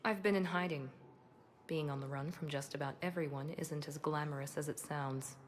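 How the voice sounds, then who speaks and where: An actress playing a composed, guarded woman operative in a video game, speaking in a measured, wry, restrained way. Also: deep voice, steady tone, natural voice. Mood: guarded, resolute. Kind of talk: video game cinematic dialogue acting.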